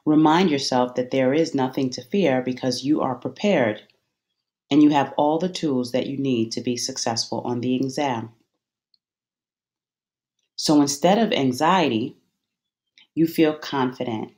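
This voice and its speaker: A meditation guide giving soothing, measured instructions with deliberate pauses between phrases. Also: mid-range voice, a little mellow.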